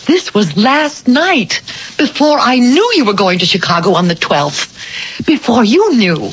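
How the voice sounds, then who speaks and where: An actress playing a sensitive, anxious wife in an old-time radio drama, speaking earnestly and with hesitation. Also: female voice, fairly high pitch, big pitch swings, a little slow, husky, full character voice. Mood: pleading, earnest. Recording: muffled, noisy old radio broadcast.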